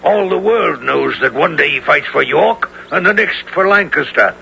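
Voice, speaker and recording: An actor playing a wry, blunt, gruff medieval English archer with a gravelly voice in a 1970s radio drama. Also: male voice, on the high side, lively intonation, normal speed, sharp tone, full character voice. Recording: dull, faintly noisy vintage radio recording.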